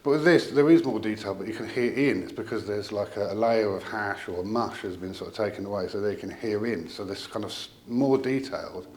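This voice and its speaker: A man giving an informal technical product explanation as a company representative, in a hesitant, halting way with trailing asides. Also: on the low side, a little mellow.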